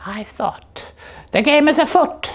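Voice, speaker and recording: A woman's voice: high-pitched, expressive, takes it slowly, full character voice. A woman voicing a hungry young talking slipper who plays detective, telling the tale with pompous earnestness in a comic puppet show. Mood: self-important.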